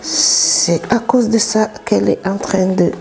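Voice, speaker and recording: A woman from southern Cameroon with a Cameroonian accent, a therapist explaining her work in an unscripted interview, in halting phrases with pauses. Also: medium pitch, steady tone, gravelly, darkish tone, faint music behind, low-quality recording. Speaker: massage therapist and business owner, interview guest.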